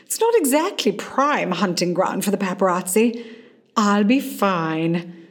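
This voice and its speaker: A woman's voice: mid-range voice, sing-song, measured pace. A woman acting in a radio play as a witty, self-possessed famous actress in her forties who is a hospital patient. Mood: breezy, reassuring. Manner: wry, dry, theatrical and affectionate.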